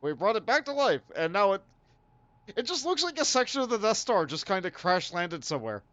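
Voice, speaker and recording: A young man talks through a game on a stream, his voice climbing and dipping with lively swings. He rambles, jokes at his own expense and breaks into laughter. The pitch sits a little high for a man. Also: fairly quick, no echo, lo-fi sound. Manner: rambling, self-deprecating, laughs often. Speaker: streamer host. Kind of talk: casual gaming stream chat.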